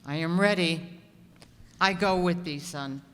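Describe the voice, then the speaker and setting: A man as a slow, gentle priest, echoing. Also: on the high side, smooth. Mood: gentle resolve. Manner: earnest, solemn, archaic speech. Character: gentle, self-sacrificing old priest, pleading for souls. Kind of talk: old-time radio drama acting. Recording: echoey hall with long reverb.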